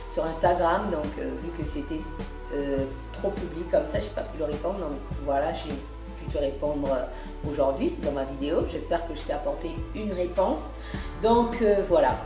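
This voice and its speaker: A woman from Congo talks through her beauty routine in a chatty, halting way, with many fillers and restarts. Her voice sits fairly low, and the room is echoey with music playing clearly behind her. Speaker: beauty vlogger. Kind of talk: casual beauty vlog talk. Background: background music.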